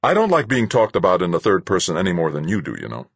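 A man's voice: low-pitched, huge pitch swings, quick pace, creaky, slightly rough, no echo, pitched above the performer's own voice, heavily acted. Man as an earnest, defensive young pilot. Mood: indignant. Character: indignant, anxious young male pilot, earnest.

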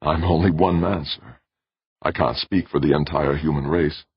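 A man's voice: very deep voice, steady tone, normal speed, husky, dry recording, full character voice. A man playing a tense human leader who snaps and presses with urgent questions. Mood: subdued. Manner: snappish, urgent. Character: tense human leader facing a grave choice. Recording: dull dry recording.

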